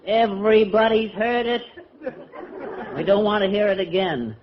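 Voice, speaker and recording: An actor playing a weary, fed-up family member grumbling in a 1940s radio sitcom. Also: male voice, sits high, normal speed, crowd noise, natural voice. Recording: dull noisy radio recording, loud audience.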